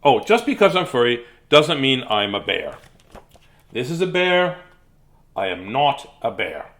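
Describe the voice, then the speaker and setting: A man voicing a peeved, furry marsupial from a storybook, indignant and emphatic, in a rough imitation Australian accent. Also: mid-range voice, very animated, unhurried, a bit bright. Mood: indignant greeting. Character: peeved furry male Australian marsupial protesting he's no bear.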